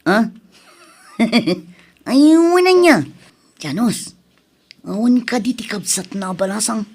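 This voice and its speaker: A woman's voice: medium pitch, very animated, slow, a little mellow, breathy edge, heavily acted. A woman playing a playful, shy young student in an Ilocano audio drama, speaking with an Ilocano Filipino accent and a teasing, casual manner. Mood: coy, hesitant. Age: young adult.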